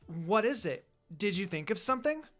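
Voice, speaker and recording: A reader playing a gentle, curious young girl ninja in a story reading. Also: mid-range voice, sing-song, normal speed, soft background music, pitched above the performer's own voice.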